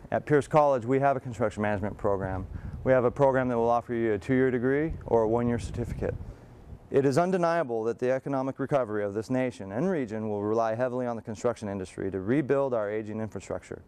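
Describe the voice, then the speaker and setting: A man giving a prepared promotional talk as a college program representative, in a formal, measured and wordy manner. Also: mid-range voice, a little mellow, slight background noise.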